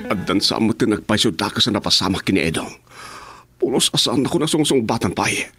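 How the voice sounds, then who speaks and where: A man's voice: lowish voice, lively intonation, quick pace, music in the background, lightly acted. An actor playing Gaspar, an anxious henchman in a radio drama, who keeps firing off pleading questions. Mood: fretful. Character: Gaspar, panicked male henchman, adult.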